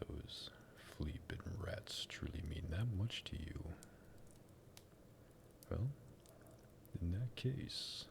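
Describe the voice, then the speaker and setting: A man playing a sadistic, possessive vampire master in an ASMR roleplay, in a breathy, gravelly whisper with a teasing, condescending drawl. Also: low voice, expressive, takes it slowly, long pauses, heavily acted. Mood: teasing, taunting. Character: sadistic vampire master, possessive and menacing, toying with captive lover.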